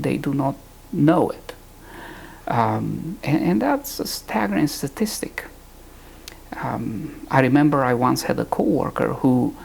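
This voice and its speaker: A man teaches in an earnest, unhurried way, stopping now and then to find his words. His voice is heavily gravelly, full of deep fry. A faint hiss sits under the recording. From Romania. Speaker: Bible teacher. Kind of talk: recorded teaching talk to camera.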